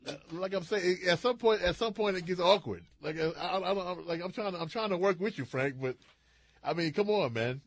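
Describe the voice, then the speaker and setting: Very high-pitched man, incredulous banter. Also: brisk, dry recording. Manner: exclamatory, incredulous, repeats himself for emphasis. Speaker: radio show sidekick. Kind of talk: casual comic banter.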